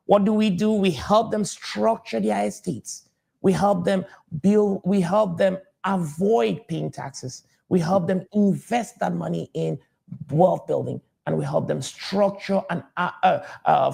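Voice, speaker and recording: Gravelly high-pitched man from Cameroon. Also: darkish tone. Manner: confident, repeats key points, some hesitation fillers. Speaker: tax advisor, guest. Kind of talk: interview answers.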